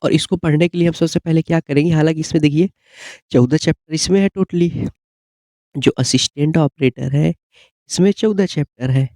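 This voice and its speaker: A man with a fairly high, slightly mellow-dark voice explains study material as an exam coach. He talks informally and keeps adding short check-in questions. The sound is very dry, with no room echo. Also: fairly even intonation, moderate pace. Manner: repeated check-in tags like "okay?". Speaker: exam-prep teacher.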